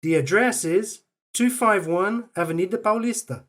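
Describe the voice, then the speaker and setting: A woman reading short phrases slowly and carefully for language learners to repeat. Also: medium pitch. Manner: reads each phrase slowly and carefully, with pauses for repetition. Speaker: phrase recording voice. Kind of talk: slow, clear phrase drills for learners.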